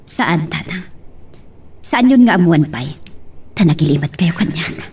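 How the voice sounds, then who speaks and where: Grown daughter played by a woman, radio romance: earnest and wounded, husky, with widely swinging intonation. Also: low voice, animated, a little slow, a little mellow, far from the mic, exaggerated voice. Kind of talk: Ilocano radio love drama acting.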